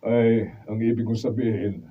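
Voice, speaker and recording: A Filipino man playing a genial middle-aged father in a radio drama, with a husky voice. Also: on the low side, steady tone, normal speed. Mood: pleased. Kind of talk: Tagalog radio drama acting. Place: radio drama studio, filmed from afar. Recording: tinny, distant studio camera sound.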